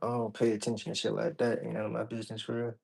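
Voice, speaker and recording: A man's voice: lowish voice, monotone, fast talker, creaky, a little mellow, breathy edge. A man from Georgia in the United States, chatting casually as an interview guest, blunt and slangy with plenty of swearing. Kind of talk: casual chat.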